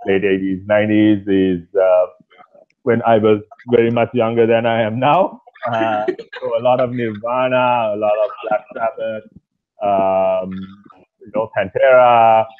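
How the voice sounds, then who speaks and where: A man in his thirties from Sri Lanka, a singer talking casually in an interview over a video call, with a rough voice and a relaxed, rambling way of speaking full of fillers. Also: fairly low pitch, unhurried. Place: small indoor room at night. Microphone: earphones on a video call. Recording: tinny phone-like call audio.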